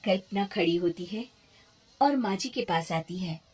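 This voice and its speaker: A woman's voice: on the low side, expressive, measured pace, darkish tone, breathy edge. A woman narrating a scripted moral tale as a storyteller.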